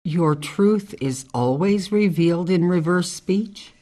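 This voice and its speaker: Actress as matter-of-fact female questioner, radio drama. Slightly low register. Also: slow pace, dark tone. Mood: probing. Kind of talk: scripted radio drama acting.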